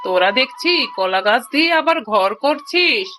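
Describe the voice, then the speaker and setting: A woman's voice: on the high side, expressive, moderate pace, bright, forceful tone, faint music behind. A woman voicing a scornful, brusque tigress aunt in a children's cartoon, scolding and mocking. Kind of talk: Bengali children's cartoon voice acting.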